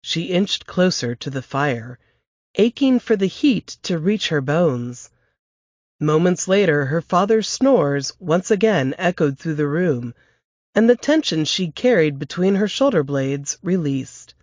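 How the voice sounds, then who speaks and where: A woman reading aloud from her novel in a steady, measured narrating voice. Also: sits low, dry recording, muffled recording. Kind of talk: audiobook-style novel reading.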